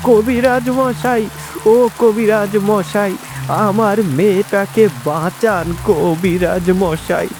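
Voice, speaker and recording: A man's voice: high-pitched, fairly even intonation, takes it slowly, over music. A man playing a village headman and doting father in a melodramatic cartoon, lamenting with anguish and remorse. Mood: pleading.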